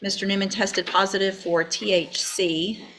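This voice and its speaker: A woman's voice: mid-range voice, steady tone, moderate pace, a bit bright. A woman with an American accent speaking bluntly and sternly as a judge in formal courtroom speech, on a noisy courtroom recording. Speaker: judge presiding over a sentencing.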